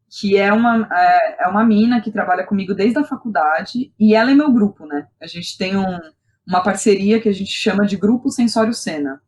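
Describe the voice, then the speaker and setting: A young woman with a Brazilian accent talks casually as an interview guest. Her pitch sits in the middle for a woman. She is animated and colloquial, often seeking the listener's agreement as she tells her own story. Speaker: guest, playwright and theatre director.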